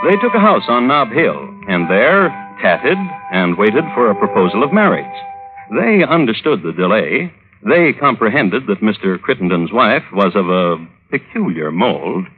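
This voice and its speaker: A man's voice: on the low side, very animated, unhurried. Wry male old-time radio narrator, music behind. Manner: wry, florid storytelling. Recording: phone-like vintage radio recording.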